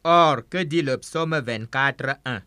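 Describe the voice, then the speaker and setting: A man's voice: mid-range voice, takes it slowly, bright, forceful tone, clear, resonant tone, no room sound, studio quality. A man preaching a sermon through an interpreter, emphatic, saying each phrase several times over.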